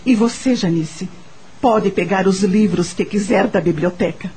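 A grieving, embittered mistress of a great house in a Brazilian radio drama. Her voice is very husky and breathy, at a medium pitch for a woman. She speaks with formal, measured authority. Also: mellow, low-quality recording. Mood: composed, generous. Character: grieving, bitter, dignified mistress of a mansion. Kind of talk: Portuguese-language radio drama acting.

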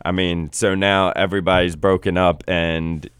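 A male radio host speaks slowly and haltingly, restarting his sentences often. His low voice is smooth and resonant, and it is recorded dry and close. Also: level tone, a bit bright, no echo. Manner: halting, many restarts, slow. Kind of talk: casual interview.

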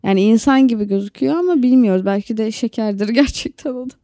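A woman's voice: on the high side, quick pace, warm tone, dry recording, studio quality. A woman, co-hosting a podcast in casual, opinionated chat. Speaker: podcast co-host.

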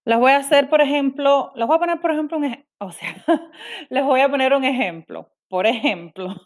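A woman's voice: high voice, normal speed. A young woman from Cuba with a Cuban accent, speaking candidly and self-critically in a personal monologue, with frequent restarts. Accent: Cuban Spanish.

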